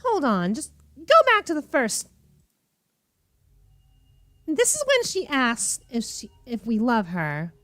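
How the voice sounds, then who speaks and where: High, animated woman playing host. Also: takes it slowly, long pauses, dry recording. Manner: theatrical, teasing. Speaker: vtuber host. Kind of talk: dramatic read-aloud of a horror visual novel with playful commentary.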